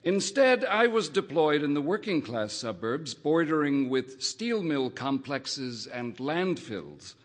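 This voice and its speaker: A man reading a first-person literary short story aloud, in a measured, wry narrating manner. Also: a little high, sing-song. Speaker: audiobook reader.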